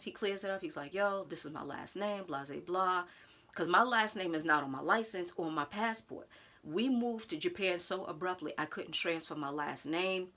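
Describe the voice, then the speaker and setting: Lively storytelling woman, slightly low voice. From United States. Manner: animated, talks straight to viewers with "y'all", acts out others' lines. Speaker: vlogger telling a personal story. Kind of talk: casual storytime monologue.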